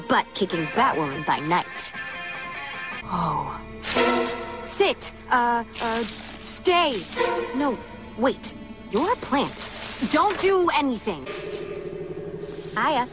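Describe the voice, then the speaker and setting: A woman voicing a quick-witted young female cartoon hero who gives wry, bossy commands. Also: a little high, expressive. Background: music.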